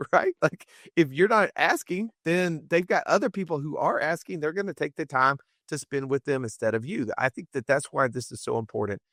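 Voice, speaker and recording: A man co-hosting a finance podcast, upbeat and fired up, with a raspy voice. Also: moderate pace, a bit bright, dry recording, clean studio sound. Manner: upbeat, hyped, salesy pitch. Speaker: podcast co-host. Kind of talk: energetic podcast chat.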